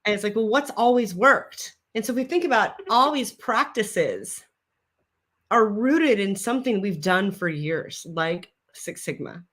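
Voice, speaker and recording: A woman's voice: mid-range voice, animated, fairly bright tone. Minnesotan woman explaining on a video call. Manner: confident, explanatory, quick laughs. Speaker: guest expert. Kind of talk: casual video call chat. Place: office room, indoors. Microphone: video call webcam.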